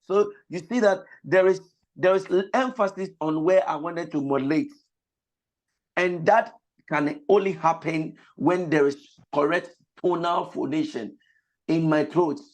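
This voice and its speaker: Slow-paced, high-voiced pastor teaching voice with a cold. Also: male voice. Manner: explains slowly, repeats points, breaks into sung examples. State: has a cold, voice slightly lost. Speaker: pastor and vocal lecturer. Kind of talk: online vocal training lecture with sung demonstrations. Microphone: video call.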